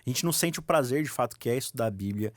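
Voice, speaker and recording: Fast-talking joking man co-host. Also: mid-range voice, lively intonation, dry recording, studio quality. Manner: quick, joking banter. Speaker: podcast co-host. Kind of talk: casual Bible study chat.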